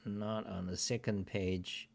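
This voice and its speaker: Slow, low-voiced rambling man. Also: level tone, creaky. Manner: rambling, profane, grumbling asides. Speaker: host. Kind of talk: casual screen-share talk show. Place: home desk, indoors.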